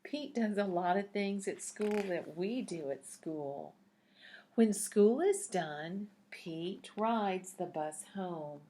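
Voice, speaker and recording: Female storybook narrator for little kids: slow delivery, slightly low voice, teacherly, invites answers with questions. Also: expressive, warm tone. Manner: asks listeners questions, teacherly. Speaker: picture book read-aloud narrator. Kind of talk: storybook read-aloud for young children.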